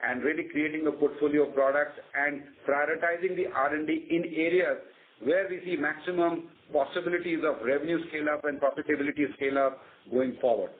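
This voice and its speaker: A man with an Indian accent answering as a company executive on an earnings call, explaining in a measured, confident way. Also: on the high side. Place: conference phone call. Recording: narrow phone line with faint noise.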